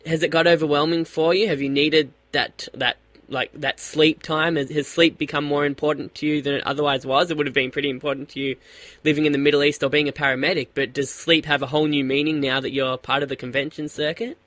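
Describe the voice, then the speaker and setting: An Australian man hosts an interview, eagerly firing off questions and often stumbling over his words. His voice is higher and bright, and it sounds close and dry. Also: little pitch movement, fast, no echo. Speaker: radio host.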